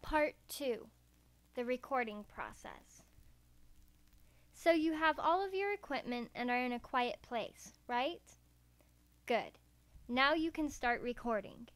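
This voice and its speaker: A woman's voice: high voice, animated, slow, pauses often, no room sound. A woman narrating a scripted how-to tutorial in a careful, step-by-step way. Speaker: voice acting tutorial presenter. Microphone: USB karaoke microphone.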